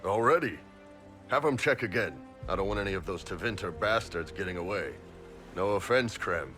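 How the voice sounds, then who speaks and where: An actor playing a big horned Qunari mercenary boss in a video game, blunt, informal and jovial. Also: male voice, deep voice, lively intonation, moderate pace, sharp tone. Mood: hearty, bossy. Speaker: mercenary captain and self-declared spy. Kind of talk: video game character dialogue. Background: game music.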